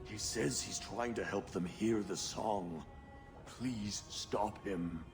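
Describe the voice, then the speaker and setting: A man playing a frightened, haunted dwarf servant in a video game, speaking gravely and pleadingly. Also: fairly low pitch, takes it slowly, music in the background. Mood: fearful, pleading. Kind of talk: video game dramatic acting.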